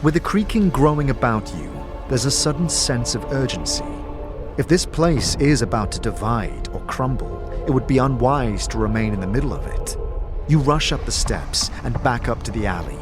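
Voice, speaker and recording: A man's voice: medium pitch, animated, moderate pace, music in the background, lightly acted. A man narrating a fantasy adventure as a storyteller, in scripted dramatic narration. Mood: suspenseful.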